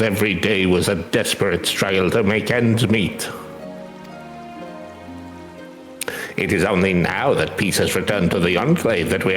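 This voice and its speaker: A man's voice: deep voice, fairly bright tone. A man voicing an earnest, grieving gardener in a game read-aloud, solemn, formal and reverent. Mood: reflective. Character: earnest, grieving Doman gardener, devoted to his lord. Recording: roomy echo, music behind.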